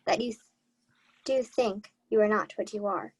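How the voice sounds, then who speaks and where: A woman's voice: medium pitch, moderate pace, slightly rough, close to an everyday voice, rough recording. A woman playing an earnest young servant in disguise, speaking formally and courteously in a Shakespeare radio play. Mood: earnest, guarded. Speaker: student actor.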